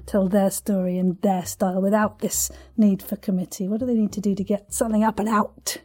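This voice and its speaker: Female podcast host, soft-edged husky tone, effusive and chatty. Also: medium pitch, flat delivery, fairly quick. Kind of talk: casual interview chat.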